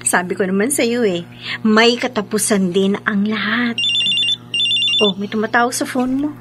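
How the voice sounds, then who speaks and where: A woman's voice: on the high side, normal speed, close to an everyday voice. A woman playing a cheerful, supportive young best friend in a Filipino radio drama. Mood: caring, upbeat. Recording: loud music behind the voice.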